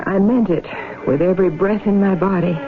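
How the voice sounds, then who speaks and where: An actress playing a devoted young farm wife with a guarded, fierce streak in a 1970s radio drama. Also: female voice, medium pitch, fairly quick, close to an everyday voice. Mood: haunted. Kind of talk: 1970s radio drama acting and first-person narration. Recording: tinny band-limited 1970s radio broadcast, music.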